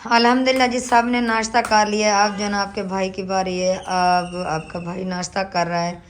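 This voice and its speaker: A woman's voice: medium pitch, little pitch movement, normal speed, slight background noise. Chatty village woman. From Pakistan. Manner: warm, chatty, addresses viewers as "your brother". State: sore throat. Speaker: family vlogger. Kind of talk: casual family vlog chat. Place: rural village home.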